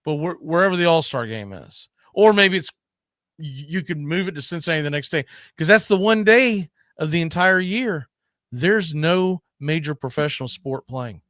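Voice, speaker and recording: A man's voice: a little high, expressive, normal speed, fairly bright tone, no room sound, clean studio sound. A man hosting a live sports show, chatting casually about the game.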